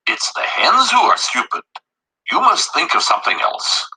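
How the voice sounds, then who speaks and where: A man's voice: high voice, huge pitch swings, moderate pace, sharp tone, husky, voice pushed up, heavily acted, low-quality recording. A man voicing a dreamy, optimistic, foolish village father in a storybook. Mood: insistent. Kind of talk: animated storybook reading.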